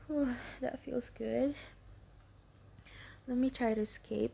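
A young woman with a high, mellow voice performs an intimate audio roleplay. She speaks slowly and softly, her delivery breathy and often sinking into whispers. Her tone stays teasing and pleading throughout. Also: steady tone, slight background noise.

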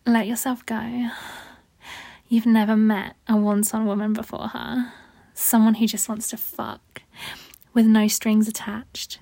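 A seductive, needy woman lover, acted by a woman in intimate adult audio roleplay. Her voice is on the high side, with a creaky edge and frequent breathy whispers. She speaks slowly and coaxingly. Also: level tone, dark tone, no room sound. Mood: sultry, confiding. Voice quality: husky, breathy, often dropping into whispers. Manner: slow, sultry, coaxing.

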